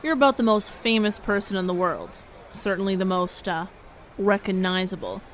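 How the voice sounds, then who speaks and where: Woman acting probing, persistent radio interviewer, mid-pitched. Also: normal speed, a little hiss or hum, lightly acted. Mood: inquisitive. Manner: pointed, interrupting. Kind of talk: audio drama acting as mock interview.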